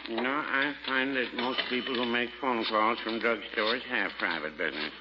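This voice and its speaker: Actor as mild, deadpan old druggist, noisy radio. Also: male voice, medium pitch, normal speed, highs cut off, lightly acted. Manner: deadpan, fussy, placid. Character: mild, dry, unflappable older small-town druggist. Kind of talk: 1940s radio comedy acting.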